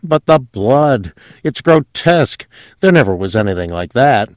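A man's voice: medium pitch, sing-song, measured pace, darkish tone, dry recording, clean studio sound. A man voicing a tense, alarmed young man who speaks in urgent, clipped lines.

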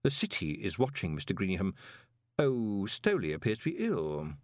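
A man doing a calm, icy city ruler. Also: sits low, no room sound, clean studio sound. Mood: cold. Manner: calm, measured, quietly menacing.

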